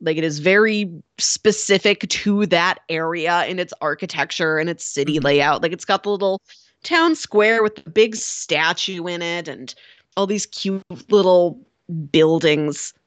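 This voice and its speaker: A woman's voice: on the low side, expressive, a little slow, no echo. A woman co-hosting a podcast in casual chat, talking informally and descriptively with wry, playful asides. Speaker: podcast co-host discussing a film.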